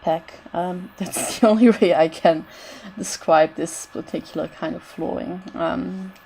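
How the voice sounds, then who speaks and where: A woman's voice: on the low side, a little slow, vocal fry, a little mellow, a little hiss or hum, poor audio. A woman with a bad cold, her voice straining, giving casual rambling commentary over a building game with lots of "I don't know" asides. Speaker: game builder narrating a renovation.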